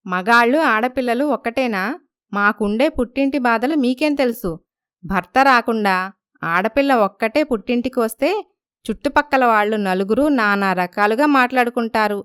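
A woman playing an emotional, insistent young wife in an animated moral story, given to reproach. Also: fairly high pitch, normal speed, smooth, no echo, close to an everyday voice. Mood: hurt, reproachful.

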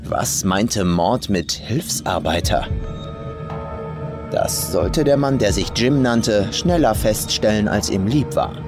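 A man's voice: on the low side, lively intonation, slow pace. A man reading a scripted horror story as a dramatic audiobook narrator. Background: faint atmospheric music.

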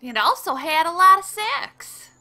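A woman's voice: very high voice, very animated, measured pace, bright, forceful tone, exaggerated voice. A woman playing a brash, flirtatious mother in an audio drama, drawling out syrupy pet names. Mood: flirtatious, smug.

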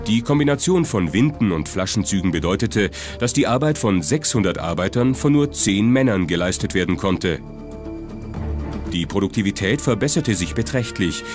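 A man narrates a scripted documentary in a measured, dramatic way. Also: on the low side. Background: prominent background music.

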